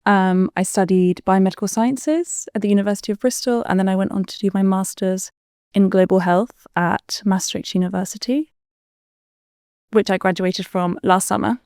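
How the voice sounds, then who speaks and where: A woman in her twenties from Spain, answering interview questions in a relaxed, chatty way with the odd um. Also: mid-range voice, moderate pace, clear, resonant tone, no echo, clean studio sound. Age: 20s. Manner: relaxed, chatty with frequent ums. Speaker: guest.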